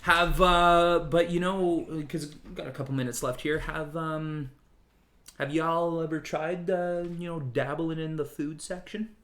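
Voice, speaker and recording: A man's voice: on the high side, fairly even intonation, unhurried, fairly bright tone. A man hosting a casual podcast, joking and bantering with frequent profanity.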